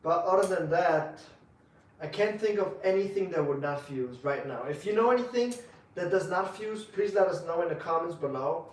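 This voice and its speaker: A man co-hosting a live bonsai demo, explaining his work in a relaxed, joking way. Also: high voice, moderate pace. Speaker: bonsai demonstrator, co-host. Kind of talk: live-stream demo chat. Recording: echoey room, far from mic.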